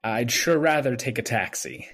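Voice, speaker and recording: A bewildered, wronged hero, played by a man in an old-time radio play. His voice is husky and his pitch swings widely. He speaks earnestly and haltingly. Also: expressive, fairly bright tone. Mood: reluctant.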